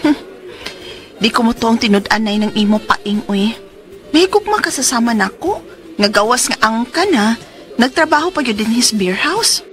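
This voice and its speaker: A woman's voice: fairly high pitch, lively intonation, moderate pace, natural voice. A woman acting an anxious, emotional young mother in a radio drama, speaking with a Cebuano Filipino accent. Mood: wistful.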